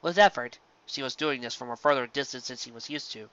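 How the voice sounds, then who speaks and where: Fan-fiction narrator, bright, forward tone, scripted storytelling, somewhat muffled lo-fi home recording. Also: mid-range voice, a little fast, no echo.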